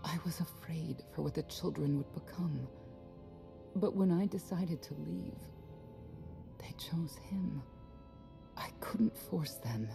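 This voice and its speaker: A middle-aged woman playing a regretful, proud warrior mother in a video game, speaking in a measured, wistful way. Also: low-pitched, vocal fry, heavily acted. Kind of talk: dramatic video game acting. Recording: music under the dialogue.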